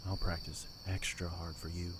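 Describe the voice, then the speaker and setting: An actor playing a confident young castle guard in an audio drama, talking in a teasing way. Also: male voice, low-pitched, flat delivery, moderate pace, a bit bright, a little hiss or hum. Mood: easygoing.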